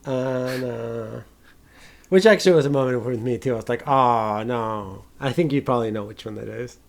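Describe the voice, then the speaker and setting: A man co-hosting a film podcast, talking casually and haltingly, with some informal swearing. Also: medium pitch, gravelly, a little mellow.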